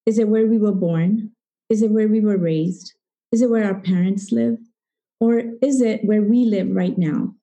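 Middle-aged woman from zambia telling her own story, warm mellow tone, asks lots of questions and acts out the back and forth. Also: mid-range voice, normal speed, poor audio. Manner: reflective, poses rhetorical questions, acts out dialogue. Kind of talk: personal storytelling at a live event.

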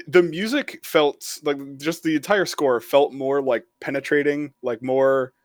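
A man co-hosting a podcast, chatting casually and often halting as he searches for words. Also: on the high side, no echo, clean studio sound. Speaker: podcast co-host. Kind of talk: casual chat.

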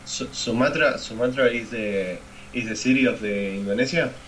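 A man in his twenties from Argentina with an Argentine Spanish accent, chatting casually and haltingly in English as a second language, often repeating words. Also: medium pitch, fairly bright tone. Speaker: English learner in conversation practice. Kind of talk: casual chat. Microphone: online voice call. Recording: noisy online call.

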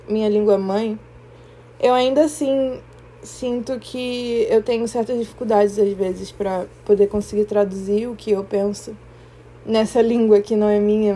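Young woman, Brazilian Portuguese accent, podcast host, reflective monologue, word-searching pauses, warm tone. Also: mid-range voice, level tone. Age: young adult. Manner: thoughtful, searching for words, pauses and restarts.

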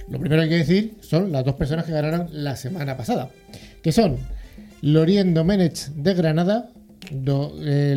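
Male radio host, upbeat and jokey, mellow warm tone, faint music bed. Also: a little high. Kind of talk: casual radio chat.

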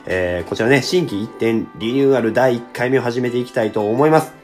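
関西訛りのある男性で、ラジオ番組の進行役として相方に話しかけるように気さくにしゃべる。. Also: male voice, medium pitch, a little fast, faint music behind. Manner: chatty, reads out listener letters. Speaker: radio show host. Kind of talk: casual radio talk with a co-host.

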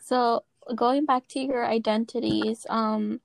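A young woman moderates a student panel with a long, rambling question full of fillers. Her voice is a little high, with plenty of vocal fry. The sound is dry and close. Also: fairly even intonation, slow pace, no echo. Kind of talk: casual panel discussion.

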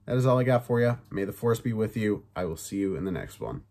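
A man with a somewhat low voice chats casually through his review, full of fillers and the odd curse. His throat sounds a bit sore, which gives him a light rasp. He talks in a loose, easygoing way. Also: animated, fast talker, a little mellow. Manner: loose and chatty, swears, many fillers. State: slightly raspy, sore voice. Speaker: podcast host reviewing films and shows.

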